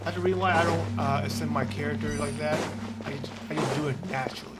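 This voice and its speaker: A man's voice: fairly high pitch, steady tone, quick pace. A man streaming a video game and chatting casually, rambling with frequent restarts. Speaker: gaming streamer. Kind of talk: casual gameplay commentary. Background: loud game music.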